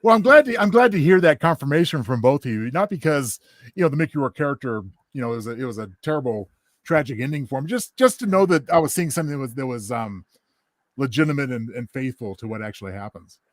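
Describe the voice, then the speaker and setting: A man's voice: mid-range voice, expressive, fast talker, no room sound. A man hosting a casual interview, asking his questions politely in a hesitant, roundabout way. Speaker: podcast host asking questions.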